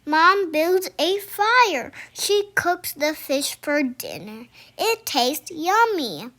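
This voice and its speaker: A young child reads a storybook aloud in a high voice. The pace is very slow and halting, with pauses in the middle of sentences. The tone is bright and clear-cut as the words get sounded out. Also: big pitch swings. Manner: sounds out words slowly, pausing mid-sentence. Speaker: young reader.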